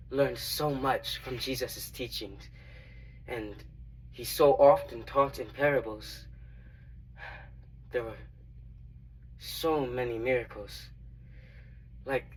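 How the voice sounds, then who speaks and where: A young man playing a reverent, earnest disciple who recounts his memories in a halting, reflective dramatic monologue. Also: medium pitch, a bit bright, distant mic, a little hiss or hum, poor audio. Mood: reflective, humble. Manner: halting, reflective, with long pauses.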